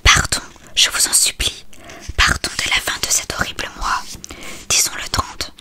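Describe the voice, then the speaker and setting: A reader voicing a desperate, pleading, lovestruck teenage girl from a novel in a breathy whisper. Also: normal speed, pushed and bright.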